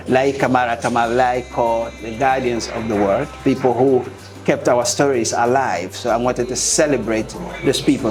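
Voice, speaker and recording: Interviewed author, man from Liberia, measured and reflective, clear music bed underneath. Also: mid-range voice. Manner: measured, with frequent "uh" pauses.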